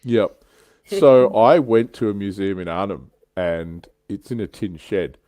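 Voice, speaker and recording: A man with a fairly deep, mellow voice hosts a casual livestream chat. He talks informally, like someone swapping anecdotes and jokes with friends. The sound is dry, with very little room in it. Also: a little slow, no room sound. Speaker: show host.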